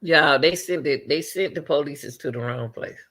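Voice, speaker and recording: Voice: mid-range voice, normal speed, a bit bright. A man giving impassioned, repetitive, exhorting reaction commentary as the host of an online show.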